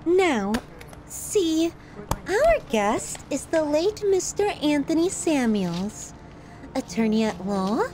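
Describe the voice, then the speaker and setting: Sadistic yandere lover, played by a woman for horror ASMR: obsessive, menacingly affectionate, very lively intonation, unhurried. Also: high-pitched, slight background noise, full character voice, poor audio. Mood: sweet, smug. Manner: theatrical, swings from sweet to scornful, sighing. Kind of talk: ASMR horror roleplay monologue.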